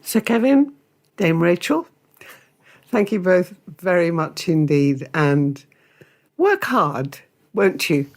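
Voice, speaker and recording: A woman hosting an interview, asking questions in a measured way. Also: on the low side, big pitch swings. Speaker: host. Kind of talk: radio-style interview.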